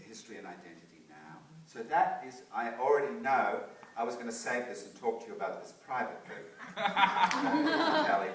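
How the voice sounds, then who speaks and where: A man leading a post-show talkback in a theatre, animated and restarting his sentences often. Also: mid-range voice, a little hiss or hum. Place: theatre auditorium. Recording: echoey theatre, distant mic.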